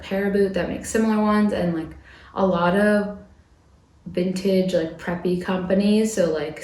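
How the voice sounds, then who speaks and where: Lowish voiced girl rambling about trends, bit stuffy from a cold, echoey room. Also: darkish tone, a little hiss or hum. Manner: chatty, lots of "like" fillers. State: recovering from a cold. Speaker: fashion vlogger. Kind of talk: casual chatty vlog.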